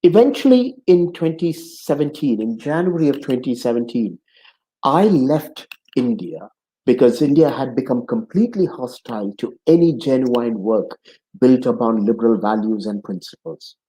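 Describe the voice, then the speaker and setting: A man in his sixties hosting a conversation show, speaking in an earnest, measured way from his home office. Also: mid-range voice, lively intonation, warm tone, poor audio. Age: 60s. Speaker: host. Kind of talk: hosted conversation opening monologue.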